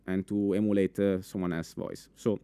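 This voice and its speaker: A young man with an Italian accent giving a live conference talk in a full room, animated, with many fillers and restarts, asking the audience questions. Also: low-pitched, moderate pace, smooth, dry recording. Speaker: conference speaker.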